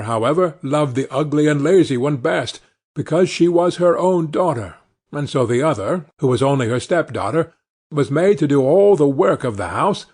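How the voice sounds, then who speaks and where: Husky male fairy tale narrator. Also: medium pitch, a little mellow.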